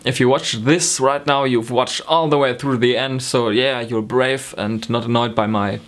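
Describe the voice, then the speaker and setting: A man's voice: mid-range voice, fairly even intonation, moderate pace. A young man from Germany with a German accent, chatting to camera in a rambling way, with many ums and self-corrections, from a small home room. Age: young adult. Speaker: knitting vlogger. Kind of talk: casual chat to camera. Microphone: camera in front, close framing.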